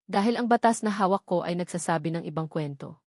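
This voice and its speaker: A woman's voice: fairly low pitch, fast, darkish tone, no echo. Woman as male lawyer in a story, firm, confident, challenging, dry recording. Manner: firm, confronting.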